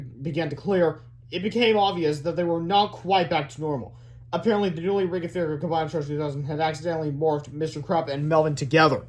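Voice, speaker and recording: A man narrates a children's book in a read-aloud style. His voice is a little higher than average for a man. The delivery is expressive storytelling.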